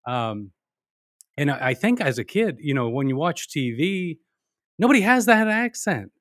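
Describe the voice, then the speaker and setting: A man from Pittsburgh hosting a podcast, chatting casually with quick reactions and short agreements. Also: a little high, big pitch swings, fairly bright tone, no echo, studio quality. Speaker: podcast host. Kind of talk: casual conversation.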